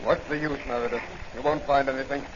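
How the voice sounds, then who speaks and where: An actor playing an anxious, desperate beach concession owner in a 1940s-style radio serial. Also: male voice, mid-range voice, fairly even intonation, quick pace, close to an everyday voice. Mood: bitterly resolved. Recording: muffled, hissy old radio recording.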